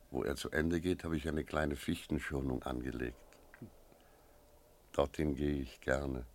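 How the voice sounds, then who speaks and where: An actor playing a sober village innkeeper who tells an eerie tale in a measured, reflective radio drama style. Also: male voice, a bass voice. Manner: measured, reflective, literary storytelling. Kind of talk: radio drama narration and dialogue.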